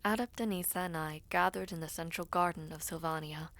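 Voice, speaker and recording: A woman playing a formal, composed villainess devoted to her prince, speaking in slow, breathy, measured phrases in a fantasy audio roleplay. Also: fairly low pitch, fairly bright tone. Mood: somber, reflective. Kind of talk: fantasy audio roleplay with first-person narration.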